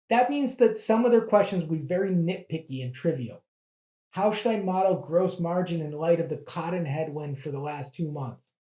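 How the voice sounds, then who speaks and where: A presenter with a soft, mellow tone explains things step by step in a measured, plain-spoken manner. The pitch sits in a middle range. The sound is noticeably roomy, with echo around the voice. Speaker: presenter of an investing guide. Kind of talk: explanatory educational narration.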